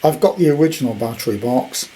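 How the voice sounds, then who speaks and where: A man's voice: mid-range voice, expressive, fast, warm tone. A man giving an informal, rambling hands-on showcase as a collector, with jokey wordplay, recorded in a room at home. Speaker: vintage radio collector presenting his purchase. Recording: clearly noisy home recording.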